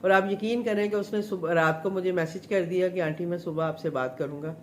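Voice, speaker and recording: A woman's voice: on the low side, brisk, slight background noise. Chatty middle-aged woman, sore throat. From Pakistan. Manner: chatty, rambling, many fillers. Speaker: home chef. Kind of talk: casual live chat.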